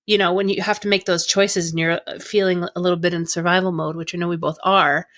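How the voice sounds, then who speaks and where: Bright-sounding woman on a podcast, giggly, self-deprecating, just chatting. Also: on the low side, flat delivery, quick pace. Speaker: podcast co-host. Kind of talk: casual chat.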